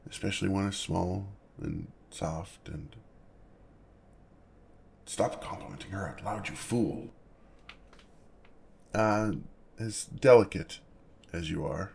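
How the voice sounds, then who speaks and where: A man voicing an awkward, gentle, protective lonely dragon in a soft ASMR roleplay, with a deep gravelly voice and a hesitant, formal way of talking. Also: slight background noise, full character voice. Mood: flustered, concerned. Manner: hesitant, self-conscious, halting, formal wording. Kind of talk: ASMR roleplay, speaking softly to the listener.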